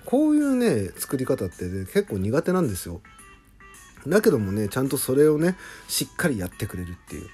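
A man's voice: medium pitch, dark tone. A man talking casually on a solo podcast in an effusive, emphatic way, drawing out his words. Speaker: host, original author of a radio drama.

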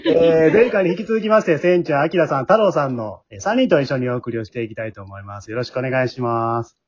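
Male podcast host, Kansai accent, lively swooping intonation, quick delivery, slightly muffled recording. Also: mid-range voice. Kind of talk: casual chat hosting.

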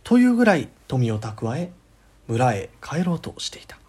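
Husky male horror storyteller. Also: medium pitch, big pitch swings, moderate pace, a little mellow. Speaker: ghost story narrator. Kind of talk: scripted dramatic storytelling narration.

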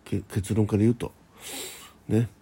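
A man hosting a casual solo radio chat, talking in a halting, rambling way and often correcting himself. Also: on the low side, steady tone, creaky, dark tone, slightly rough. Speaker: internet radio host.

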